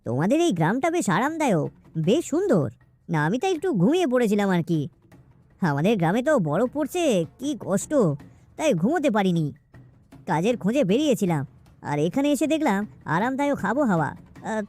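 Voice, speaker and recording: A woman's voice: on the high side, big pitch swings, a little fast, a little mellow, soft background music. A woman voicing a lazy, grumbling village husband in a cartoon. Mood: pleading. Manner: whining, grumbling. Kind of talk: cartoon voice acting.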